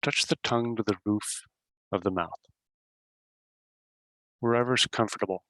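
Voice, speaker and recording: A man leading a guided meditation as host, giving simple instructions in short phrases with long pauses. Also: lowish voice, fairly bright tone, dry recording.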